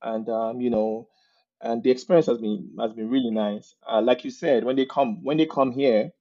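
A man with a Nigerian accent talking as a podcast guest, telling animated stories and laughing mid-sentence. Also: mid-range voice, moderate pace, highs cut off. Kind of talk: casual interview conversation.

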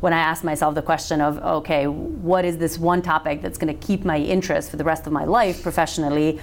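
A woman in her thirties, raised in Macedonia with Macedonian as her mother tongue, talks in a low voice as a podcast guest. She is a chatty, animated researcher. A faint hiss of noise sits under the recording. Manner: chatty and animated, quick laughs. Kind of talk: casual interview conversation.

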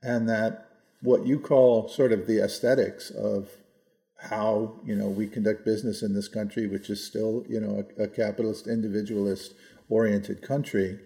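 Male, 60s, American. Creaky, relaxed voice, measured conversational delivery, podcast co-host who qualifies as he goes. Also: lowish voice. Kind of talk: reflective policy discussion, conversational.

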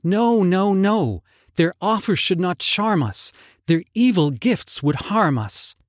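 A man's voice: sits high, animated, takes it slowly, no echo, studio quality, pitched above the performer's own voice. Fearful young maiden, as a man puts her on. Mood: alarmed. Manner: alarmed, pleading. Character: young woman, fearful and virtuous.

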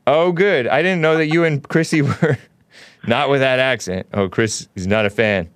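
A man's voice: medium pitch, moderate pace, dry recording. A man hosting a casual call-in show, musing aloud in a laid-back way and chuckling often. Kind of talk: casual call-in radio chat.

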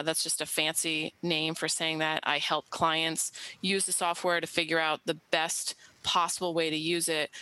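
A woman in her fifties from the United States, a soft-spoken and slightly hesitant guest in a casual interview. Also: deep voice, dry recording, low-quality recording.

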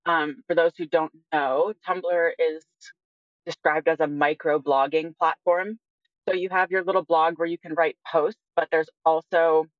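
Female guest, early 20s, measured and conversational, slightly muffled lo-fi audio with little room sound. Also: low voice, no echo. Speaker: interview guest. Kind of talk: reflective personal account in conversation.

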